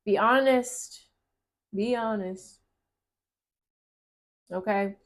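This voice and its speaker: Gentle encouraging woman reading tarot. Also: mid-range voice, extremely slow. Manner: gentle, encouraging, reads card text aloud. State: voice getting raspy. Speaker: tarot reader. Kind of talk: casual tarot reading to camera.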